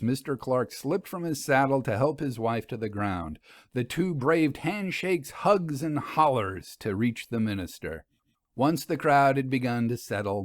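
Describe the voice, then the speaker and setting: A man reading a scripted story as narrator, in a measured, literary storytelling manner. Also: medium pitch, no room sound, clean studio sound.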